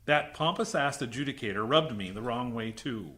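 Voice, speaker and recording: An actor playing an indignant, earnest military base commander in a radio science fiction drama, speaking in an emphatic, protesting way. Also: male voice, mid-range voice, lively intonation, normal speed, sharp tone. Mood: earnest, resolved.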